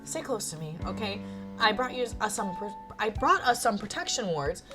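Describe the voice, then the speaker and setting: A woman's voice: mid-range voice, big pitch swings, moderate pace, close to an everyday voice. A woman voicing a caring, affectionate young witch in a visual novel, with a fond, chatty manner. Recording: music behind the voice.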